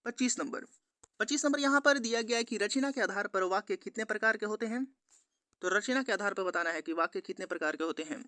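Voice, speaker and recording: High, bright-voiced man teaching. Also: smooth, no room sound, studio quality. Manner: repetitive, instructional, addressing viewers. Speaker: exam-prep teacher on a study channel. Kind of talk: scripted quiz lesson narration, reading questions and answers.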